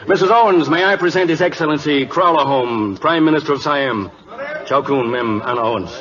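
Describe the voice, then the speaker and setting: An actor playing a concerned, courteous ship captain in an old radio drama. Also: male voice, a little high, a little fast, a crowd in the background, close to an everyday voice. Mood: urgent. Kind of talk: 1940s radio acting. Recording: muffled old radio recording.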